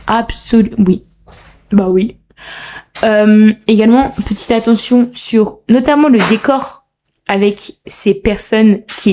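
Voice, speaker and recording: A woman's voice: medium pitch, a little mellow. Young woman, podcast host, casual solo chat, playful, self-mocking, slightly sore throat. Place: room at home.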